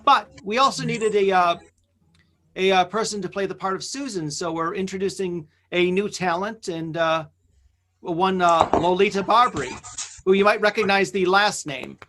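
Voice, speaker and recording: Bright, high-voiced man hosting casually. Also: slight background noise. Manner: halting, hesitant delivery. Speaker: podcast host. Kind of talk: casual spoken introduction to a live event.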